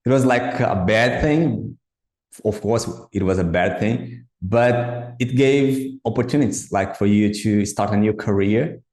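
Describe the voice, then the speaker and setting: Halting host, Portuguese native speaker. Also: male voice, lowish voice, darkish tone, echoey room. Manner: hesitant, halting with restarts. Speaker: podcast host. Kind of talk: interviewing a guest.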